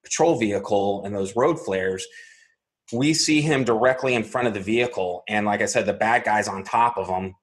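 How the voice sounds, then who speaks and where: A man in his forties from Arizona, a guest methodically recounting a personal story step by step. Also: lowish voice. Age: 40s. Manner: measured, methodical, step-by-step telling.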